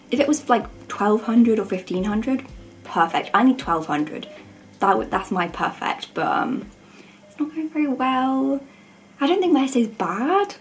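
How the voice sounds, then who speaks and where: A young woman with a croaky voice, chatting informally and rambling in a self-deprecating way as a student vlogger. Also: fairly high pitch, low-quality recording. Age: young adult. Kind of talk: casual chat to camera.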